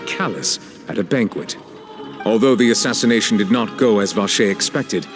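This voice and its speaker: An actor playing a stern, composed judge in an anime game, speaking in a formal, authoritative manner. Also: male voice, on the low side, lightly acted. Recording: loud music behind.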